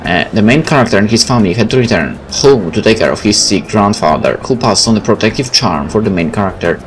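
A man's voice: lowish voice, expressive, quick pace. A man, a native Polish speaker, hosting a game let's play, reading aloud and commenting casually. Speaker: gaming video host. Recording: faint game music behind.

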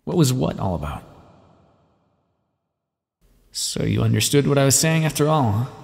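A man playing a weary, guarded scientist captor in an intimate ASMR roleplay, speaking in a dry, brusque, halting manner. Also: mid-range voice, lively intonation, vocal fry, darkish tone, husky. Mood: reluctant, guarded. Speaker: audio roleplay performer. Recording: long reverberant echo.

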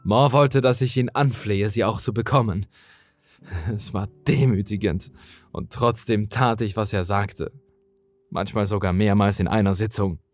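A man's voice: lowish voice, steady tone, unhurried, dry recording, faint music behind. A man reading a horror story as dramatic scripted narration.

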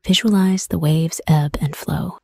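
A woman softly guiding a meditation in a whispery, husky voice, soothing and measured, with pauses between phrases. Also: sits low, fairly even intonation, dry recording. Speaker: meditation guide. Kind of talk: guided meditation, soft scripted narration.

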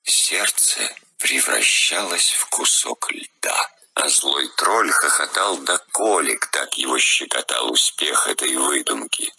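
A man narrating a fairy tale for children in a calm, husky voice that often drops to a half-whisper. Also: rumbling low, takes it slowly.

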